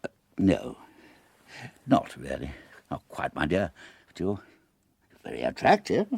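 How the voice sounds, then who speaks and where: An actor playing a suave, callous philandering British gentleman in a radio drama, languid and teasing. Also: very deep voice, huge pitch swings, a little slow, vocal fry, slightly rough, dry recording, exaggerated voice.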